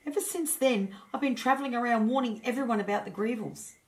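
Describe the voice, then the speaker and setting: A woman's voice: a little high, fast, darkish tone, voice pushed up, lightly acted. A woman voicing a timid little male storybook hedgehog with a wobbly, stammering, pleading voice. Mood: sad, shaken. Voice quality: wobbly, quavering.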